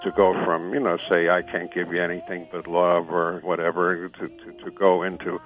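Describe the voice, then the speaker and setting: A man giving conversational interview commentary as a historian, halting and repeating words. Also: low voice, level tone. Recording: phone-like narrow band, faint music.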